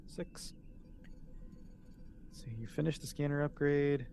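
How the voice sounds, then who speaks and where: A man's voice: a little high, fairly even intonation, takes it slowly, gravelly, a little mellow, a little hiss or hum. A man running a tabletop role-playing game as game master, speaking casually and informally.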